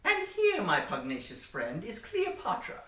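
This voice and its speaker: A woman plays a sly male villain professor in a comedy sketch, purring mock-polite lines that swing widely in pitch. The voice is bright and edged, heard from a little way off in an echoing room. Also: huge pitch swings, measured pace, distant mic, a little hiss or hum, heavily acted. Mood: suave. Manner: suave, theatrical, mock-polite with sudden menace. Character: sly male villain professor who runs a wax museum. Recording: roomy echo, voice set back from the mic.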